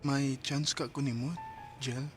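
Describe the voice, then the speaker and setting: A man's voice: mid-range voice, little pitch movement, unhurried, faint music behind, lightly acted. An actor with a Filipino accent playing an eager, boyish young rural man in love in a radio drama. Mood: sweet, courting. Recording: clearly noisy radio drama recording.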